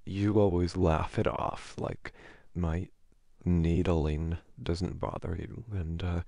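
Flustered, affectionate boyfriend played by a man for ASMR audio roleplay: hesitant, creaky, close and dry. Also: deep voice, little pitch movement, dark tone, no echo, exaggerated voice. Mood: sheepish, confiding. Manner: hesitant, halting, wordy.

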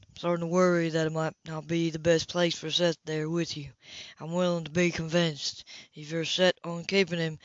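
Woman narrator as man reciting a sly letter, low voice, dry audiobook recording. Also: no room sound, natural voice. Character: man reading out a sly, self-pitying letter. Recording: dull lo-fi home recording.

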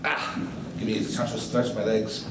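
A man's voice: fairly low pitch, level tone, moderate pace. A man speaking on a convention panel, taking audience questions with playful banter into a handheld mic. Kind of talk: casual panel Q&A. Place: large convention hall room. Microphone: handheld mic, far camera. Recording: echoey room from far off, background noise.

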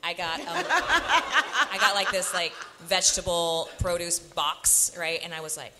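A young woman, a stage actor, telling animated stories full of "like" on a convention panel, speaking into a handheld microphone. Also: lowish voice, a little slow, background noise. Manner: animated storyteller with many fillers. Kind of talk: casual panel storytelling. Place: convention panel room, indoors.

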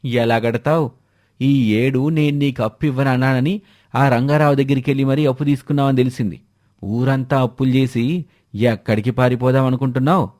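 A man's voice: mid-range voice, fairly even intonation, a little fast, a little mellow, lightly acted. A man voicing a blunt village moneylender who scolds and demands repayment. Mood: scolding.

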